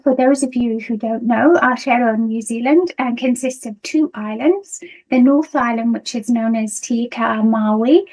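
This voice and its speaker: A woman's voice: on the high side, a little mellow, rough recording. A middle-aged woman from the United Kingdom with a British accent, giving a conference talk as a nursing lecturer over a video call, hesitant with many ums. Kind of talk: conference presentation.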